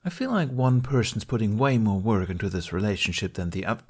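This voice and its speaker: A man's voice: on the low side, expressive, fairly quick, darkish tone, slightly rough. A man voicing a sarcastic, reluctant young man with dry, casual, grudging delivery in a story.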